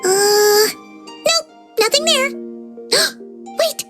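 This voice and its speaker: A tiny fairy sidekick with an extremely high, squeaky child's voice. The delivery swoops around in animated bursts, chatty and easily flustered, like an anime game companion. Music plays clearly underneath. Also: female voice, extremely slow, heavily acted. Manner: chatty, flustered, speaks of herself in third person. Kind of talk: anime-style video game voice acting.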